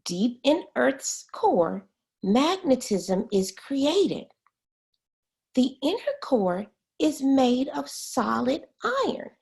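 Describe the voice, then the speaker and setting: A woman reading a children's science book aloud as a teacher, expressively and pausing to explain words. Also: sits high, very animated, creaky, darkish tone.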